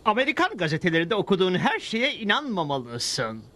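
An excitable cartoon villager, theatrical and teasing. His high voice leaps up and down over loud music. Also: fairly quick. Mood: dramatic. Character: mocking, excitable Mexican villager, a canteen keeper. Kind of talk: animated cartoon dub.

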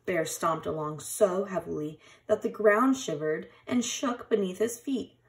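A woman reading a children's picture book aloud in an expressive, animated storytelling manner, like a teacher. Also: lowish voice, measured pace, creaky.

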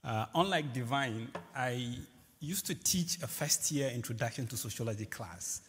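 A man's voice: medium pitch, animated, moderate pace, a bit bright, breathy edge. A man who lectures in sociology, introducing himself on a panel with wry humour. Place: large lecture hall stage. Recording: reverberant large hall.

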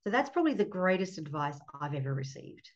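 A woman's voice: lowish voice, sing-song, quick pace. Australian woman on a muffled video call. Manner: warm, earnest, laughs often. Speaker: fertility doctor guest. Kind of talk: casual interview on a video call. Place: home office indoors. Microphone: laptop webcam mic on a video call. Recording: dull lo-fi video call audio.

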